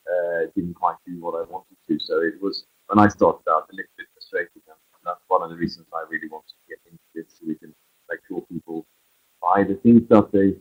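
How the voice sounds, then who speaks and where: A man's voice: sits low, slightly rough, narrow, tinny audio. A man presenting a course in a live online discussion, speaking candidly and conversationally.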